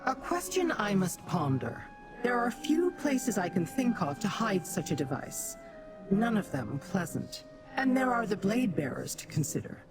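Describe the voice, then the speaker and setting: A woman's voice: mid-range voice, very animated, low-quality recording. An actress playing a haughty, calculating demon noblewoman in a fantasy game, speaking formally and condescending to mortals. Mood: calculating, musing. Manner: imperious, formal, measured wording. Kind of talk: fantasy game character acting. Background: game music.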